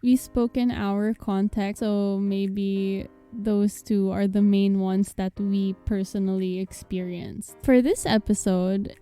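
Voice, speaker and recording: A woman's voice: medium pitch, mellow. A young woman, a Tagalog speaker, co-hosting a casual podcast with playful, giggly banter. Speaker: podcast co-host.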